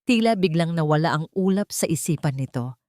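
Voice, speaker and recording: A woman's voice: low voice, expressive, a little fast, darkish tone, dry recording, clean studio sound. A woman with a Filipino accent narrating a dramatic story from a script. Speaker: audiobook narrator.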